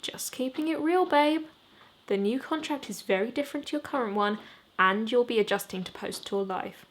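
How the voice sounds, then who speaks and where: A woman voicing a breezy, businesslike female band manager of about thirty in an audiobook, brisk and offhand. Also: on the high side, animated, a little hiss or hum, close to an everyday voice.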